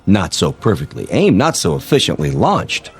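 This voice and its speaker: Smug, very deep-voiced hawk. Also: male voice, wildly swooping pitch, moderate pace, gravelly, slightly rough, exaggerated voice. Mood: smug, gleeful. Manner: gloating, needling, emphatic. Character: smug, hawkish male colleague, gloating and menacing. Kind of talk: radio drama acting.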